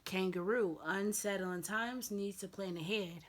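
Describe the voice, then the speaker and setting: A woman with a slightly raspy voice giving a casual tarot reading, chatty and repetitive, with lots of fillers. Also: medium pitch, a little slow, a little hiss or hum. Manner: chatty, repeats herself with many fillers. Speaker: tarot reader.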